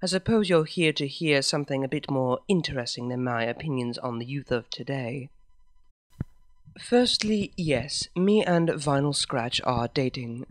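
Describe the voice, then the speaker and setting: A reader telling a fan story aloud in the first person, in a formal, measured way. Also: medium pitch.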